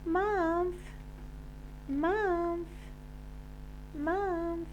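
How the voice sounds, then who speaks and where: A woman voicing a small, insistent, tattling little sister in a storybook, loud and taunting. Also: very high-pitched, steady tone, drawn-out words, dull audio, slight background noise, voice pushed up, heavily acted.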